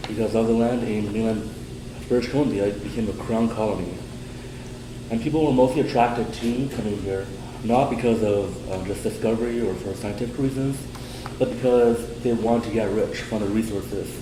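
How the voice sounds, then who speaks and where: Young male student, lowish voice, steady prepared delivery, roomy echo, air-conditioning hum behind. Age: young adult. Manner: steady, prepared delivery with some slow stresses. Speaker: student presenter. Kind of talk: prepared class presentation.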